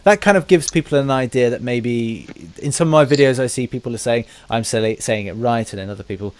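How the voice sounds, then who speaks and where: An Englishman hosting a casual podcast interview for a gaming channel, with halting, rambling explanations. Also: mid-range voice, darkish tone, smooth, no echo. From England.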